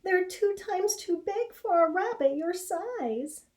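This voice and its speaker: A fretful storybook mother rabbit, put on by a woman reader, pitched very high. She pleads and wails in slow, drawn-out phrases. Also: expressive, darkish tone, heavily acted. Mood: surprised.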